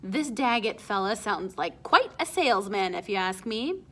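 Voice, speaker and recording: A woman's voice: mid-range voice, big pitch swings, normal speed, sharp tone. A woman voicing a breezy, teasing, brash uncle in a storybook, with casual, punchy, slangy delivery. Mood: prodding.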